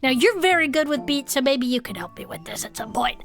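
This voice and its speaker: A high-pitched turtle gardener in a comedy audio drama. The character is earnest, chatty and easily excited, a cryptid fan who hides some rage under the cheer. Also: female voice, brisk, faint music behind. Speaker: podcast co-host. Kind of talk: comedic character banter, partly improvised.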